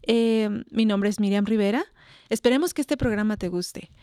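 A woman's voice: medium pitch, normal speed, mellow, clear, resonant tone, dry recording, clean studio sound. A Mexican woman presenting a radio programme and interviewing guests in Spanish. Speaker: radio host.

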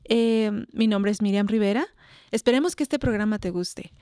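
A woman's voice: medium pitch, moderate pace, mellow, clean tone, no echo, studio quality. A woman from Mexico with a Mexican accent, hosting a community radio show and asking her guests curious, friendly questions. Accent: Mexican Spanish. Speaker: radio host.

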